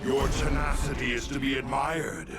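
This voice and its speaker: An actor playing a cruel, sneering demon lord in a video game, gravelly, commanding and theatrically mock-courteous. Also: male voice, medium pitch, fairly even intonation, normal speed, over loud music, lightly acted. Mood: smug menace. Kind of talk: video game villain acting.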